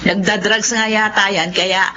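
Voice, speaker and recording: A woman's voice: medium pitch, steady tone, a little slow, lightly acted. A woman with a Filipino accent playing a protective, motherly middle-aged aunt in a radio drama. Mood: resigned. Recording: dull lo-fi audio, clear noise.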